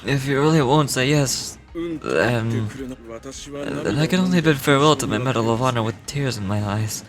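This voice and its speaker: A woman's voice: a bass voice, moderate pace, voice pushed down. A woman voicing an anxious, eager young male police officer in a video game, stammering and earnest. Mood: dejected, earnest. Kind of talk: video game dialogue read aloud in character.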